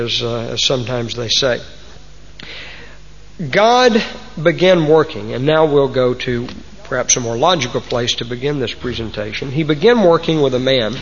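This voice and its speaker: A man's voice: mid-range voice, slight background noise. A man with a Texas accent preaching a sermon to a congregation, folksy and homespun, fond of old sayings. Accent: Texan. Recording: muffled recording in a large reverberant hall.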